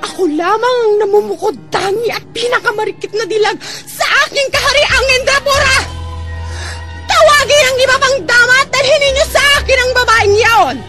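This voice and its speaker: A woman playing a vain, jealous and cruel sultana in an epic drama, haughty and imperious in her theatrical delivery. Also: very high voice. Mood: furious, demanding. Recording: music under the voice.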